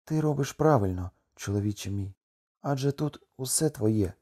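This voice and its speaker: A man's voice: medium pitch, lively intonation, normal speed, mellow, natural voice. A man voicing a meek, devoted wife in a storybook, trembling and pleading.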